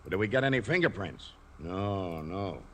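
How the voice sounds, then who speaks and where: An animated, grumbling police lieutenant. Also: male voice, sits low, moderate pace. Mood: exasperated. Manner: grumbling, sarcastic. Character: exasperated, gruff police lieutenant, grumbling grown man. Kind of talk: 1940s radio crime drama acting. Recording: noisy old-time radio recording.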